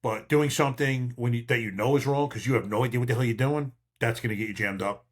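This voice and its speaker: An older man with a mid-pitched voice talks like a seasoned cop hosting a podcast. He gives casual advice in a blunt, emphatic, streetwise way. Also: fast. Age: 50s or older. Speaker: podcast host, veteran police officer.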